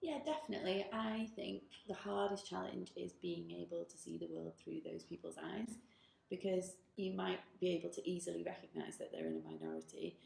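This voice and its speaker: A woman's voice: on the low side, lively intonation, brisk, vocal fry, a little mellow. A woman technology director answering interview questions in a thoughtful, earnest way. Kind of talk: workplace interview. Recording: roomy office with faint noise.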